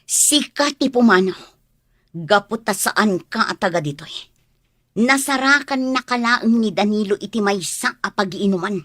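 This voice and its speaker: A woman's voice: medium pitch, animated, moderate pace, a bit bright, no room sound, clean studio sound. An actress playing an indignant, confrontational mother facing her rival in an Ilocano radio drama, with an Ilocano accent and accusing delivery. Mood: indignant, scornful.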